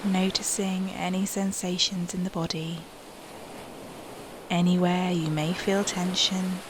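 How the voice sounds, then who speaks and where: A woman softly leading a guided meditation in a soothing, unhurried manner, with breathy phrase endings and long pauses. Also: on the low side, little pitch movement, background noise.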